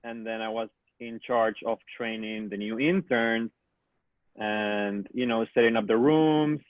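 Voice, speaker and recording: A man's voice: on the low side, fairly even intonation, a little slow, no echo. Guy from peru introducing himself, tinny call audio, dead dry. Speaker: studio assistant. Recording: tinny phone-like line.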